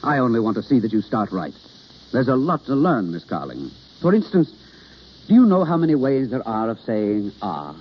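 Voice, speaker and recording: An actor playing an instructive, theatrical understudy coaching a novice in an old-time radio drama, on a noisy, dull vintage recording. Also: male voice, medium pitch, big pitch swings, moderate pace, slightly rough. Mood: lecturing, teasing. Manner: instructive, theatrical, hesitant with uhs. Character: male theatre understudy coaching a novice, impatient yet kindly.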